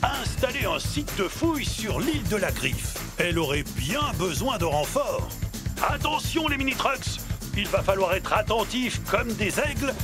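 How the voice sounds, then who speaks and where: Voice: medium pitch, big pitch swings, moderate pace, close to an everyday voice. An actor voicing a kindly, enthusiastic old grandfather truck in a children's cartoon, explaining and rallying with declamation, over music. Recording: loud music behind the voice.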